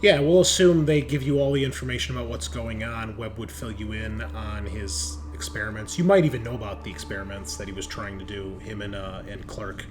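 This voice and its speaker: A man's voice: on the low side, a little fast, hardly any pauses. A man narrating a tabletop roleplaying game as game master, improvising in a casual, conversational way. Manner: casual, conversational, occasional swearing.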